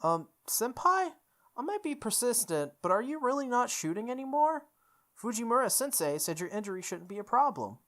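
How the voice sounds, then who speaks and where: A woman's voice: on the high side, sing-song, moderate pace, fairly bright tone, pitched above the performer's own voice. A woman voicing a timid but obstinate teenage girl in a visual novel, hesitant and earnest.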